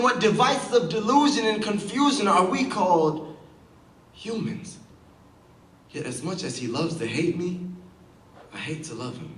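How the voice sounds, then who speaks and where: A man performing rhymed spoken word poetry with a dramatic, deliberate and emphatic delivery. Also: high voice, fairly bright tone. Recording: roomy, distant mic with background noise.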